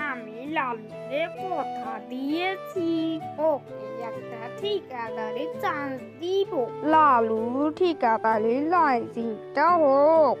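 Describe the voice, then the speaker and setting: High-voiced, reassuring bird chairman played by a man. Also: full character voice. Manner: reassuring, familiar. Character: reassuring male bird, newly elected village chairman. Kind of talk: fairy-tale cartoon dialogue.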